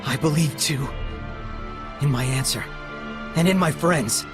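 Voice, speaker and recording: A man's voice: on the high side, a little slow, music in the background, close to an everyday voice. A man voicing an earnest, resolute young hero in a dramatic anime-style game. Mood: solemn determination. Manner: earnest, impassioned. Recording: loud orchestral game score behind.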